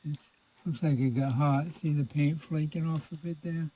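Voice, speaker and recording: A man giving an informal, folksy repair demonstration, thinking aloud in a halting mutter as he works. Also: on the high side, fairly even intonation. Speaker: radio repair hobbyist presenting own repair video. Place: indoors at a repair workbench. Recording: muffled phone-like audio, lo-fi.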